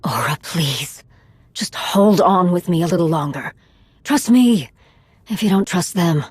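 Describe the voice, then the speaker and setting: Game casting: devoted, resolute fugitive, lowish, husky, measured, dry recording. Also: female voice, animated, no echo. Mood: pleading, reassuring. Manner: measured, earnest, reassuring. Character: steadfast, devoted female fugitive, soothing and resolute. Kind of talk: video game voice acting.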